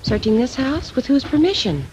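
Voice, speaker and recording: An actress playing a haughty, defensive murder suspect in a 1950s radio drama, indignant and formal in manner. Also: female voice, high voice, big pitch swings, moderate pace, a little mellow, slight background noise. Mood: defensive, evasive. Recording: old radio recording with music.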